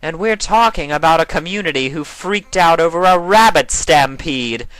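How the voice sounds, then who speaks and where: Guy doing a haughty evil pony moon queen, high imperious voice, theatrical, story reading. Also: animated, normal speed, a bit bright, smooth, no echo, rough recording. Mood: boastful, scornful. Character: proud, haughty alicorn moon queen villainess. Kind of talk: dramatised fan fiction reading.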